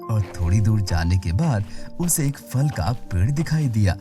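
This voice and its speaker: A woman's voice: extremely low pitch, sing-song, a little slow, over music. A woman narrating a scripted children's moral story as the storyteller.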